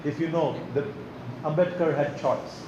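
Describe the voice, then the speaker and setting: An older man lectures to a room in a loose, conversational way, often restarting words mid-phrase. His voice sits at a middle pitch. The recording is echoey, with steady noise behind him. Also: a little slow, highs cut off. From Tanzania. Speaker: guest lecturer. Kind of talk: academic lecture. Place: lecture hall.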